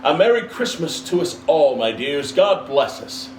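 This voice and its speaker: A man voicing a kindly, mild poor father in a storybook, warm, gentle and earnest. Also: on the high side, very animated, measured pace. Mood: warm, festive. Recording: roomy, distant mic, faint background noise.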